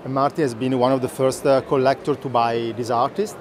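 A man's voice: mid-range voice, fairly even intonation, brisk. A man in his thirties, a gallerist, chatting informatively with visitors. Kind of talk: casual informative chat. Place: large indoor art fair hall. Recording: busy fair crowd noise.

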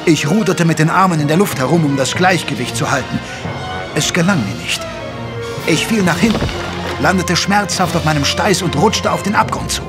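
An actor playing a gentlemanly doctor who narrates an audio drama in articulate, literary, measured speech. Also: male voice, mid-range voice, close to an everyday voice. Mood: tense. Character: gentlemanly male doctor, detective's loyal companion, narrating. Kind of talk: scripted first-person audio drama narration. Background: music.

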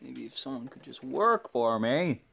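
A man's voice: mid-range voice, big pitch swings, normal speed, creaky, fairly bright tone. A man giving casual repair commentary as a hobbyist, rambling and self-deprecating as he thinks aloud. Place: indoor workshop bench.

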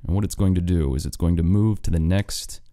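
A deep-voiced American man walks through a software tutorial step by step. His voice is mellow and smooth, recorded dry and close like a studio voice-over. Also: brisk, no echo. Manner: plain step-by-step explaining. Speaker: audio engineer and instructor.

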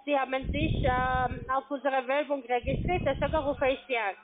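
Female telesales agent, high-pitched, foreign accent, formal scripted delivery, thin phone line. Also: steady tone, moderate pace, background noise. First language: not German. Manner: formal, checks with short tag questions. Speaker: call centre sales agent. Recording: narrow-band phone line.

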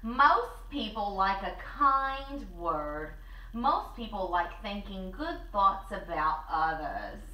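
A woman co-hosting a story time, reading a picture book aloud in an expressive, deliberate way with drawn-out words. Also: mid-range voice. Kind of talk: animated children's story read-aloud. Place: small living room with fireplace and bookshelves. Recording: roomy, slightly distant camera mic.

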